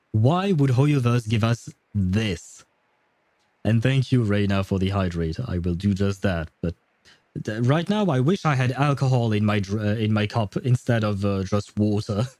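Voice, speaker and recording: A man's voice: medium pitch, darkish tone, no room sound. A man casually commentating on a game stream, with a blunt, wry and often profane manner, close to the mic. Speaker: livestreamer.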